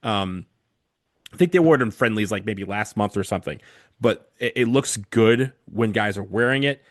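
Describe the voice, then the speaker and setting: Man, lowish voice, dry sound, hesitant casual podcast chat, lots of ums. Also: normal speed, no echo. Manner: hesitant, many fillers and restarts. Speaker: podcast co-host.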